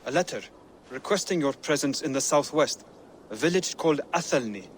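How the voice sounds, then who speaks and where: An actor playing an earnest, thoughtful scholarly assassin who speaks carefully and formally in a game. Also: male voice, on the high side, lively intonation, fairly quick, slight background noise, lightly acted. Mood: earnest, intrigued. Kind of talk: game cutscene acting.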